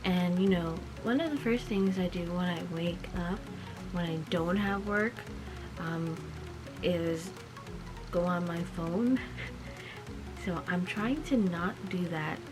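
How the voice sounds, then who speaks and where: A woman's voice: fairly low pitch, slow, long pauses, music in the background. A young woman vlogging casually while she gets over a cold, chatty and full of fillers and small laughs. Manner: quiet and sleepy, just woken up. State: recovering from a cold. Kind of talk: casual vlog talk.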